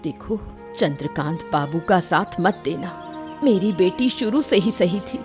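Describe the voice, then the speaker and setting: A woman's voice: on the low side, expressive, measured pace. Cartoon village mom done by a woman, proud n protective, firm emotional delivery, music playing behind. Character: proud, protective village mother, wife of a farmer.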